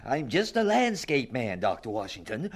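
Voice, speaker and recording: A man's voice: medium pitch, wildly swooping pitch, normal speed, breathy edge, exaggerated voice. An actor playing a humble, loyal landscape man, pleading in an agitated way, in a radio drama. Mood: anxious pleading.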